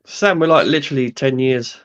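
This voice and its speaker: A man's voice: medium pitch, brisk, muffled recording. An English man in his late thirties, chatting as co-host in an easygoing, chatty way. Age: 30s. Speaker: podcast co-host. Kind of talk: casual chat.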